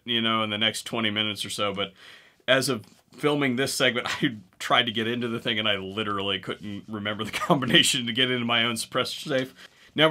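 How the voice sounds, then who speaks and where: A man's voice: medium pitch, sharp tone. A man in his thirties hosting a gun channel, talking casually to camera with a wry, joking manner. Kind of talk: casual talk to camera with sponsor read. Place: indoor room with guns on wall behind.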